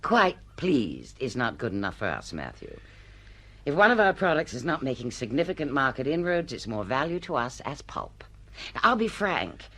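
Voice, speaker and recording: An actress playing a ruthless, brash publishing executive in a radio drama, blunt, domineering and full of business jargon. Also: female voice, a bass voice, huge pitch swings, normal speed, a bit bright, exaggerated voice. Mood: blunt, scornful.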